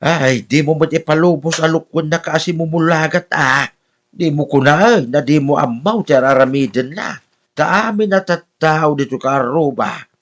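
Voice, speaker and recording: A man's voice: on the high side, normal speed, a bit bright, lightly acted. A man playing a stern, worried father in a radio drama, with an Ilocano accent and a probing, reproachful manner. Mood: suspicious, reproachful. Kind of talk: Filipino podcast radio drama acting.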